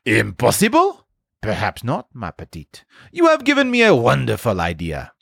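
Guy doing a proud earnest little storybook mouse, dramatic, really swoopy pitch, dry sound. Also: wildly swooping pitch, normal speed, gravelly, fairly bright tone, no echo, heavily acted. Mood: hopeful.

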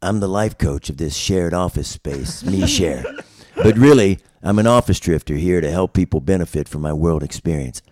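A man's voice: deep voice, moderate pace, few pauses, darkish tone, no room sound, clean studio sound, close to an everyday voice. A man voicing a seedy, self-loathing drifter who poses as an office life coach, world-weary and confessional in noir comedy style. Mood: sleazy earnest. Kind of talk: 1990s noir voiceover table read.

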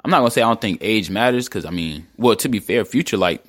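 A man's voice: on the low side, lively intonation, quick pace. A man in his early twenties, a guest on a podcast, talking in a laid-back, slangy way. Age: 20s. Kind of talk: casual chat.

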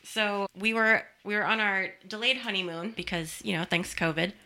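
A woman's voice: medium pitch, normal speed, bright, forceful tone. A woman producing a podcast, telling a story in a chatty, casual way and laughing easily.

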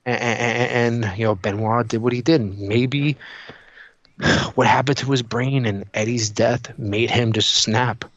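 A man chatting casually on a podcast, telling stories in an animated way, acting out dialogue and swearing freely. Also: mid-range voice, measured pace, dry recording, dull audio. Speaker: podcast co-host. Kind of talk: casual chat, animated storytelling.

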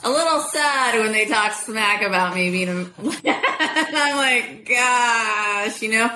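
A woman's voice: fairly high pitch, takes it slowly, bright, forceful tone, a little hiss or hum, rough recording. A woman whose first language is Spanish, chatting as a guest in an interview with many fillers and easy laughter. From United States. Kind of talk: casual interview.

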